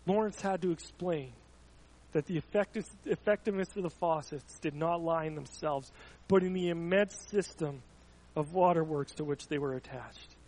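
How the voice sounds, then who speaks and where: High-voiced man preaching a story sermon. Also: normal speed, a little mellow, low-quality recording. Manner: storytelling, with frequent stumbling restarts. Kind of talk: sermon with illustrative stories.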